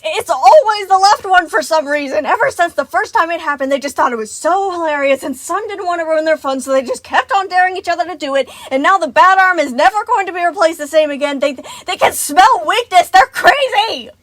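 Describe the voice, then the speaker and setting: Woman acting out an exasperated male robot babysitter, frantic, ranting, loud, high, storybook character. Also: moderate pace, hardly any pauses, no echo, lightly acted. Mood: crazed ranting. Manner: ranting, stammering, frantic bursts.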